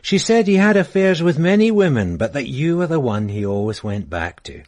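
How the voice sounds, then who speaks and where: An actor playing a persistent police sergeant, blunt and probing in a radio drama. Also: male voice, fairly high pitch, normal speed. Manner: probing, blunt, lively delivery. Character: persistent police sergeant, middle-aged man.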